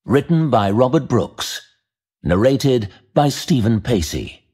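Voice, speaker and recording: A man narrating an audiobook in a measured, even storytelling manner. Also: medium pitch, slightly rough.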